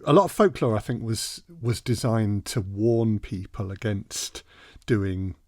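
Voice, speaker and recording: A man with a fairly low voice hosts a podcast interview. He phrases things in a measured, slightly hesitant, conversational way, and the sound is close and dry. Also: dry recording.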